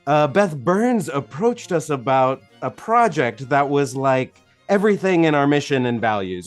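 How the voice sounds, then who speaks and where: A man speaks as a podcast host in a mid-pitched voice. His delivery is conversational, suited to introducing guests and chatting. Faint music sits behind him.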